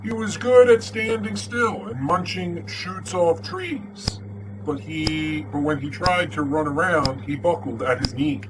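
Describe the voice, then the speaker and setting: A man playing Batman as an earnest, deadpan superhero host who chats casually and reads storybooks aloud, with some hesitation. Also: fairly high pitch, a little slow, background noise, voice pushed up, natural voice. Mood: storytelling.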